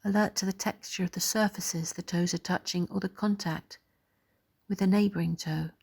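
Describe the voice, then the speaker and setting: A woman leading a guided body scan meditation in a soft, gentle, soothing way, pausing between phrases. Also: on the low side, fairly even intonation, creaky, breathy edge.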